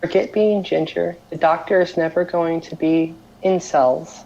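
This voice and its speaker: A woman's voice: low-pitched, steady tone, unhurried, vocal fry, dark tone, lo-fi sound. A woman reading party game cards aloud during casual play. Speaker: card game player.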